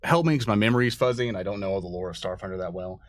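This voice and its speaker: Male host, rapid rambling informal delivery, slightly low voice, dry close sound. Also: no echo. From Louisiana, USA. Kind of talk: casual chat.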